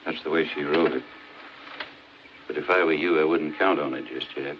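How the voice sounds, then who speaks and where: An actor playing a small-town doctor, matter-of-fact and measured, in a 1940s film drama. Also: male voice, rumbling low, steady tone. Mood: cautionary. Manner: measured, plain-spoken. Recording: muffled, hissy 1940s film soundtrack.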